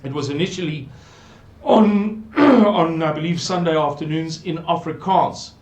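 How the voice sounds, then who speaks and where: An older South African man with a South African English accent, slowly musing through a Bible passage and repeating words for emphasis. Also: on the high side, a little mellow. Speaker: Bible teacher. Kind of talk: unhurried scripture commentary. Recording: echoey room.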